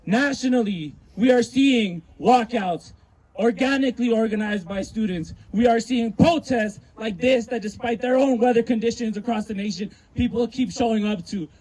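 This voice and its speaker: A man's voice: extremely high pitch, darkish tone, rough recording. A man hosting an outdoor protest rally, leading chants and introducing speakers. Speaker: rally emcee.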